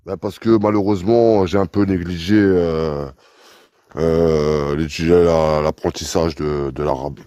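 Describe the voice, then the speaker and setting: A man's voice: deep voice, steady tone, measured pace, dark tone, clear, resonant tone. A man from France calling in to a live stream, answering with many hesitant fillers. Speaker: caller. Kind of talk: live call-in chat.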